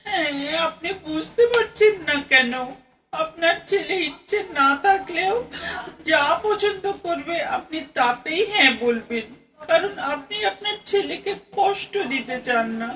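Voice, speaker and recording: A woman playing an earnest, anxious young bride-to-be in a radio play, pleading haltingly and tearfully. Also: extremely high pitch, expressive, a bit bright, full character voice. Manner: halting, pleading, repeats phrases. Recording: distant mic, faint noise.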